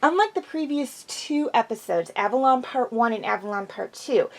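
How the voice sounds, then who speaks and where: A woman's voice: on the high side, lively intonation, normal speed. A woman host chatting casually and enthusiastically through an episode review, slightly congested from a cold.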